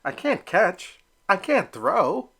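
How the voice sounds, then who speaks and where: Brief: man voicing a cheeky storybook duckling boy, high and bouncy. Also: sing-song, slow pace, fairly bright tone, breathy edge, heavily acted. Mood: chirpy. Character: cheerful young boy duckling, artsy and sassy.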